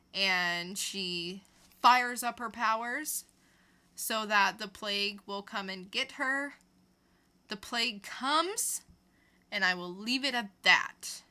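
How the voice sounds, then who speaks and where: Bright, unhurried woman podcast co-host. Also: medium pitch, clean tone. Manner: informal storytelling recap. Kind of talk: casual comic book review chat.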